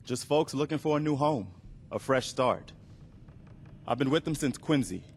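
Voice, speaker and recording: A man's voice: mid-range voice, lively intonation, normal speed, slight background noise, close to an everyday voice. An actor playing a weary, plainspoken and earnest militiaman in a video game. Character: weary, earnest militiaman, last of his company.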